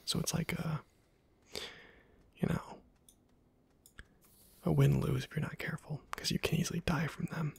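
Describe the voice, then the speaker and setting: A man softly whispering casual, rambling ASMR commentary over a video game, with a breathy, husky voice. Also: fairly high pitch. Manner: soft-spoken, rambling to himself. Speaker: ASMR gaming host.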